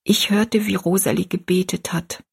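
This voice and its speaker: A woman voicing a frail, bedridden mother who speaks gently in a weak, breathy whisper. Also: fairly low pitch, fairly even intonation, moderate pace, heavily acted.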